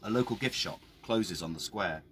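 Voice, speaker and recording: Measured man narrating a dark tale. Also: fairly low pitch, slight background noise. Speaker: author reading his own story. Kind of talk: dramatic scripted story narration. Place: outdoors by a town well.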